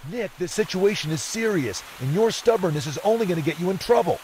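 A matter-of-fact investigator in a hotel mystery game. His voice is a little high and serious. Soft rain ambience sits behind him. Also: animated, a little fast, a little hiss or hum, low-quality recording. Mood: grave. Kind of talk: video game voice acting.

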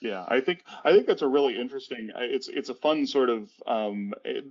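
A man's voice: mid-range voice, fairly quick, a little mellow. A man in his thirties presenting a cybersecurity webinar in a casual, rambling way, often restarting his sentences. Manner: rambling with frequent restarts and fillers. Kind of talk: casual conversational webinar talk. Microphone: headset on a video call. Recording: dull lo-fi webinar audio.

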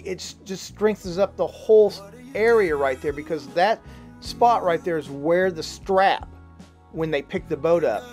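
A middle-aged man in a casual how-to style, explaining his boat repair step by step while recording outdoors at close range in a boatyard. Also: fairly high pitch. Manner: relaxed, explanatory, walking through each step. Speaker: boat refit vlogger. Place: outdoor boatyard under a hull. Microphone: handheld camera at close range.

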